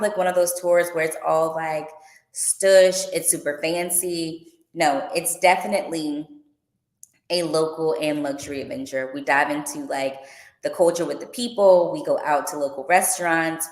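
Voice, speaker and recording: A low-pitched woman talks to her viewers in a chatty, upbeat way, full of fillers. Her voice rings in an echoey room, picked up by a livestream mic. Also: steady tone, moderate pace. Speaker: tour organizer and boutique owner. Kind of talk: casual livestream Q and A.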